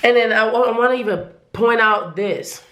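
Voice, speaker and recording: Woman, tarot reader, hoarse, losing her voice, halting rambling delivery, echoey room. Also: fairly high pitch, fairly bright tone, lo-fi sound. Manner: rambling, halting, restarts sentences often. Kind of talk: casual pick-a-card tarot reading to viewers.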